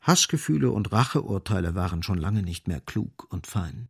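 An actor as the sardonic narrator of a literary radio play, gravelly and husky, speaking with ironic, dramatic emphasis. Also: male voice, low-pitched, animated, moderate pace, full character voice. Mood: dry irony.

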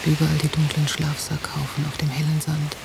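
Monotone, creaky solitary woman, hissy. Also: sits low, fairly quick. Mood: subdued, observant. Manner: flat, subdued, observational. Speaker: actor. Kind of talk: interior monologue in radio drama. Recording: hissy old cassette of radio play.

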